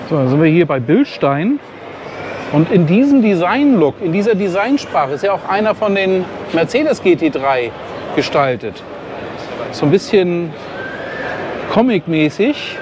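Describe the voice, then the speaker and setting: A man's voice: high voice, lively intonation, unhurried. A man from Germany chatting casually to his viewers as he walks around a busy outdoor racetrack event, often ending his sentences with a questioning "ne?". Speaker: vlogger. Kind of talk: casual walk-and-talk vlog. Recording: outdoor noise and crowd.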